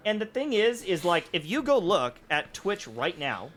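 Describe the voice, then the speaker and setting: High, lively-voiced man explaining. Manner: measured, explains with examples and numbers. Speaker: streamer. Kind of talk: casual analytical chat.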